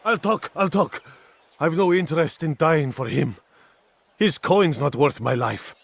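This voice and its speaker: An actor playing a cornered, cynical informant in a video game, talking in a conspiratorial, resentful way. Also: male voice, on the high side, a little slow, vocal fry, slight background noise. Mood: frightened. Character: cornered, cynical informant bargaining for his life. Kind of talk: video game cutscene acting.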